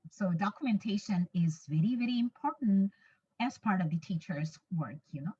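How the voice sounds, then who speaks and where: A woman from Bangladesh with a Bangladeshi English accent, a native Bangla speaker, giving a measured conference webinar talk as an English teacher and repeating phrases for emphasis. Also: mid-range voice, expressive, warm tone, slightly rough. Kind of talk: webinar presentation. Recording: distant, muffled lo-fi mic.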